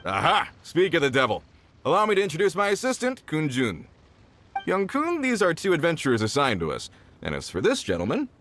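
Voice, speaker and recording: A man's voice: on the high side, wildly swooping pitch, fairly quick, a bit bright, slight background noise. A man voicing an older mining foreman, boastful yet respectful and enthusiastic, in a video game. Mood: delighted, impressed. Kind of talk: video game dialogue acting.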